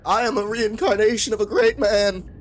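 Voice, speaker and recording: A man's voice: high-pitched, fairly even intonation, normal speed. Profane, joking male streamer. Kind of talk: casual live gameplay commentary. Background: game music.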